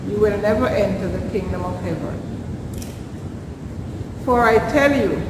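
An older woman, an Anglican priest from Saint Vincent and the Grenadines, gives a measured, earnest scripture reading and Lenten reflection, recorded from a distance at a church altar. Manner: measured, earnest reading, dropping low at verse endings. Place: large church interior, at the altar. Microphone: camera set back from the speaker. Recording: echoey church from a distance, background noise.

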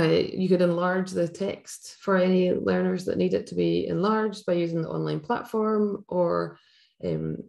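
A woman's voice: on the low side, creaky, darkish tone. Scottish woman leading a teaching webinar. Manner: friendly, explanatory, with frequent fillers. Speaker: teacher trainer presenting a webinar. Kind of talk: live webinar talk. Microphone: webcam video call.

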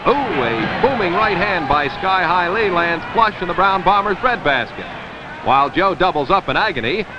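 Wry American male newsreel narrator, 1950s sports style, fairly high voice on noisy film sound. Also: highs cut off. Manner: wry, wordy wisecracking.

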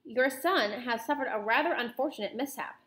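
Woman playing a calm, blunt old grandmother. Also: on the high side, brisk, natural voice. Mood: matter-of-fact. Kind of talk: children's storybook read-aloud.

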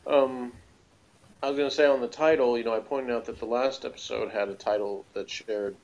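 A man co-hosting a casual review discussion, speaking in a halting, wry way. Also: medium pitch, fairly even intonation, gravelly, a little mellow, rough recording.

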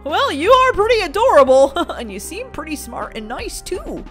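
A woman's voice: very high voice, big pitch swings, normal speed, fairly bright tone, soft background music, exaggerated voice. A woman voicing a goofy, easygoing father unicorn, playful and silly, in a children's pretend-play story. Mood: friendly.

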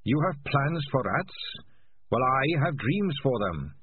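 A man doing a small calm rat. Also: medium pitch, slow pace, pitched above the performer's own voice. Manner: calm, polite, thoughtful. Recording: tinny, lo-fi sound with faint noise.

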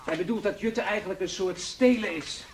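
A man's voice: high-pitched, brisk, lightly acted. A man acting an earnest, stubborn young beachcomber's son in a noisy 1960s radio play.

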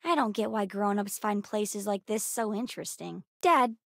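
A woman voicing a bright, bookish, earnest young girl in a video game, chatty and eager in her delivery. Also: medium pitch, normal speed, gravelly, no echo, studio quality. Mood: curious, chatty. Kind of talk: scripted video game voice lines.

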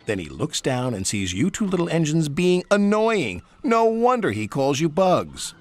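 A man's voice: on the high side, very animated, measured pace, pitched above the performer's own voice. A man voicing a reproving, explanatory male steam engine in a children's story.

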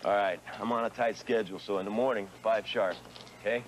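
An actor playing a guarded stunt driver in a TV-movie drama, terse and low-key. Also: male voice, steady tone, fairly quick, close to an everyday voice. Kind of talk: naturalistic TV-movie drama acting. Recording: film dialogue with background vehicle noise.

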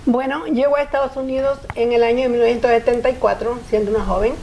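A woman's voice: a little high, steady tone, quick pace, warm tone, a little hiss or hum, low-quality recording. An older woman from Honduras, a guest in a TV studio interview, speaking earnestly in short reflective phrases. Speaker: interview guest, foundation founder. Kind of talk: personal interview. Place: TV studio set.

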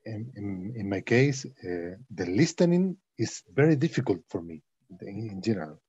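Low husky man on a dull call line. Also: expressive, moderate pace, mellow, dry recording. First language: Spanish. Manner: hesitant, repeats words. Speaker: student in an English conversation class. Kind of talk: halting English in a video-call class. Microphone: video call.

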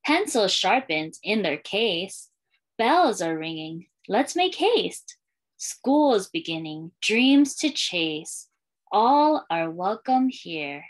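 Lively, unhurried woman reading to children. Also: mid-range voice, low-quality recording. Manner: clear sing-song storytelling for young children. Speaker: elementary school librarian reading aloud. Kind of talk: children's picture book read-aloud. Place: small indoor room with bookshelves and curtain.

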